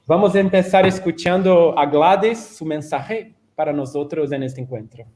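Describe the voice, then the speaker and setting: A man whose first language is Portuguese, with a Brazilian accent, moderating a panel and introducing guests in halting Spanish. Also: on the high side, darkish tone. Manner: hesitant, halting, with fillers.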